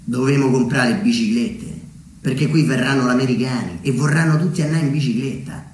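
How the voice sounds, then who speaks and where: A man's voice: medium pitch, brisk, a little mellow, lightly acted. Echoey, scoffing Umbrian small-town man acted by a storyteller. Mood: scoffing, bickering. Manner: colloquial dialect, emphatic retorts. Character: blunt small-town businessman and his scoffing mate, bickering. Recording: echoey stage, distant mic, slight noise.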